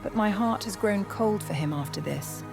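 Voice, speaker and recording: A woman's voice: mid-range voice, animated, moderate pace, vocal fry, voice pushed up. A woman voicing an earnest, loyal young working-class maid in a storybook, colloquial and deferential. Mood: indignant, worried. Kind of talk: period storybook dialogue. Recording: music behind the voice.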